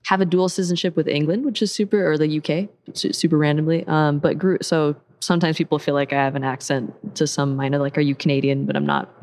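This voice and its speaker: A woman's voice: deep voice, steady tone, fast talker, hardly any pauses, a little mellow. A woman in her thirties from Seattle with an American accent, chatting openly and laughing often in an interview, with lots of "like" and "um". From Seattle, USA. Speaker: parkour coach and program director. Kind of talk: casual interview chat.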